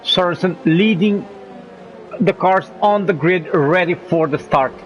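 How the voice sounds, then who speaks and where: A man with a cold commentating a sim racing league broadcast, rising in pitch when the action heats up. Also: slow, dull audio, a little hiss or hum. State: has a cold. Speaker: race commentator.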